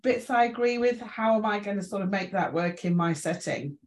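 A woman's voice: mid-range voice, lively intonation, moderate pace. A middle-aged woman with an English accent giving an informal presentation in a chatty, self-deprecating, reassuring way. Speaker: invited speaker, inclusion trainer.

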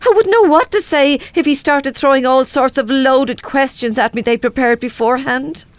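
Actress as fearful mother, worn-down, self-doubting, fairly high voice, dry sound, radio play. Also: normal speed, no echo, natural voice. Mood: anxious despair. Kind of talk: live radio drama acting.

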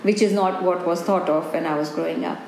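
A woman's voice: fairly low pitch, fairly even intonation, a little fast, a little mellow. A woman in her forties from India with an Indian accent, a business owner answering interview questions in an earnest, reflective way with many "you know"s. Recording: roomy, with faint background ambience.

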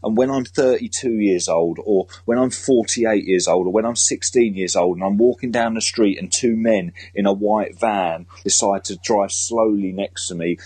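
A man's voice: on the low side, normal speed, hardly any pauses, a little mellow, slightly rough, no echo. A British man, a teacher being interviewed, talking earnestly and emphatically in a conversational way. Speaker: school teacher and author, interview guest.

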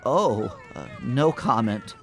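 A man acts a smug rabbit spokesman in a radio comedy. His mid-pitched voice moves around a lot, officious and hedging, always slipping out of responsibility. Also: big pitch swings, slow pace, fairly bright tone, exaggerated voice. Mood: flustered, evasive. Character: evasive, smug male rabbit corporate spokesman. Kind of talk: comic radio play acting.

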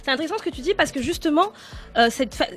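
A woman's voice: sits high, animated, fast, music in the background. A woman moderating a casual panel discussion, often hesitant and restarting as she frames questions. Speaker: podcast host and moderator.

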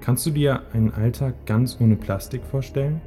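A man's voice: mid-range voice, normal speed, mellow. A man narrating a scripted audio play, addressing the listener directly. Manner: direct address with questions and instructions. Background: music.

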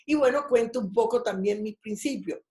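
A woman's voice: fairly high pitch, moderate pace, a little mellow, roomy sound. Cuban-born older woman telling her story. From Cuba. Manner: rambling, personal storytelling with many restarts. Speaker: guest. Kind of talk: casual interview over a call.

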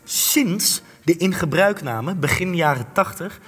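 Radio drama role: accusatory male interviewer, husky delivery, confrontational, in heavy hall reverb. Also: a little high, expressive, slow pace, fairly bright tone, exaggerated voice. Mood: insistent. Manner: pointed, insistent, accusatory. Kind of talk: Dutch radio play acting.

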